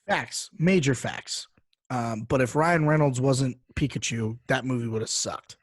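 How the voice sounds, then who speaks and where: A man with a mid-pitched voice banters as a podcast host. He is joking and opinionated, repeating phrases playfully. The recording is dry, with little room sound. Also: unhurried, dry recording.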